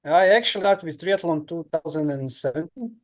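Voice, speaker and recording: Croatian guy in his 30s, kinda high voice, halting english with lots of uhs. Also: animated. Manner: halting non-native English with many fillers. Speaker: guest, professional triathlete. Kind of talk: casual interview answers.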